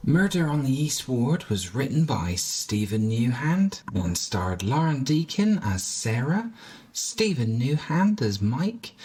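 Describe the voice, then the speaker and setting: A man's voice: mid-range voice, breathy edge. A man reading the credits and a friendly outro for an audio drama podcast. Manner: friendly, measured announcing.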